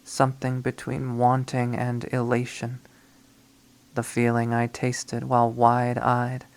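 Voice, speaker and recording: A lovesick, obsessive young woman who has turned to killing speaks in a hushed, brooding monologue, as a man reads her lines aloud. Her pitch sits in a mid range, and she takes her time, letting each line hang slowly. This is a dramatic first-person visual novel readthrough. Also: monotone.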